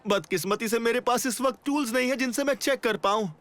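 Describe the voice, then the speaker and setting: A man voicing a vain, anxious, easily exasperated game inventor in an animated comedy dub. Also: extremely high pitch, a little fast, a little hiss or hum. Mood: dismayed.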